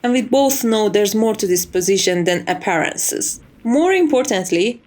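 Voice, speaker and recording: A woman's voice: medium pitch, lively intonation, normal speed, darkish tone, natural voice. A woman playing a composed, strict but fond chief maid in an ASMR roleplay, speaking formally and with polite condescension. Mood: firm, polite.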